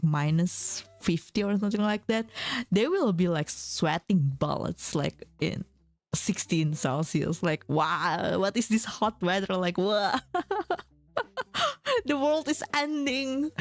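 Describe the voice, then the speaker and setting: A young woman streamer chatting casually with her viewers, often restarting her sentences and filling pauses with "like". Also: medium pitch, no room sound. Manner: chatty, many fillers and restarts, reads chat aloud. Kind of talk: casual chat with chat readers. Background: faint background music.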